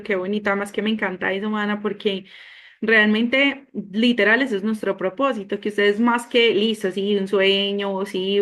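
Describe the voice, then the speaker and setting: Encouraging woman interviewer. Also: medium pitch. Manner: encouraging, expressive. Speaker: agency staff interviewer.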